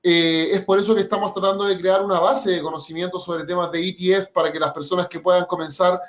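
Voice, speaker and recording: A man presenting an educational trading webinar, explaining things step by step in a measured way, with frequent pauses and "eh" fillers. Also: high voice, fairly even intonation, muffled recording.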